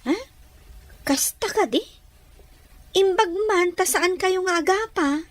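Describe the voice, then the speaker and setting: A woman's voice: extremely high pitch, slow, no echo. A woman playing a modest, affectionate young woman in an audio drama, respectful to her elders. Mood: concerned. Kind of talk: acted Ilocano audio drama.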